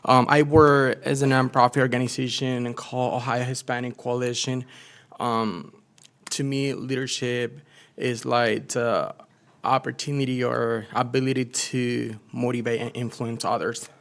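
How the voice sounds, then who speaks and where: A man's voice: mid-range voice, steady tone, measured pace, vocal fry, a bit bright. A man from Puerto Rico, a native Spanish speaker, giving a short speech to introduce himself. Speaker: nonprofit worker introducing himself.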